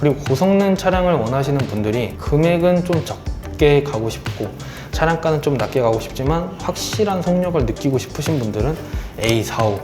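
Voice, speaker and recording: Hoarse-throated car salesman, echoey room, music behind. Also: fairly high pitch, animated, fairly quick. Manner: polite, persuasive salesman's patter. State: sore throat, speaking lower than usual. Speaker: car dealer. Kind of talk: sales pitch explaining monthly car promotions. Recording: roomy echo with background music.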